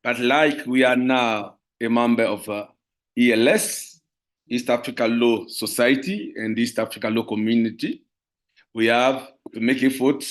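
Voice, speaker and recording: A man with a Congolese French accent delivers a formal talk. His pace is very slow and deliberate, at a middling pitch. His English is careful and halting, that of a French speaker presenting in a second language. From Democratic Republic of the Congo. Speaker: lawyer and university teacher, guest speaker.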